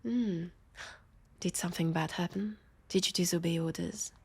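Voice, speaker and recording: A sardonic female vampire acted by a woman with a French accent and a husky, breathy voice. Her words often settle into a creak. She teases her new partner with haughty, playful dryness, lingering between phrases as in an intimate audio roleplay. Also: lowish voice, darkish tone. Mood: curious, conversational. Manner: playful, dry teasing with lingering pauses. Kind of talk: roleplay audio drama, intimate one-sided dialogue.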